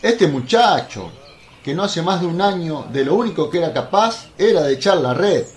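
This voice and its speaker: An actor playing an excitable, blunt apostle in a religious radio play, effusive and exclamatory. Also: male voice, fairly high pitch, normal speed. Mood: amazed, proud.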